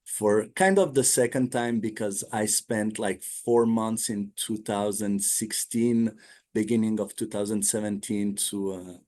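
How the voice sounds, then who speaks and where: Man, 30s, English, lowish voice, hesitant, many fillers. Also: steady tone, darkish tone. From Switzerland. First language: not English. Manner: halting, many fillers and restarts. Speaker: guest, attorney. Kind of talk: casual interview talk.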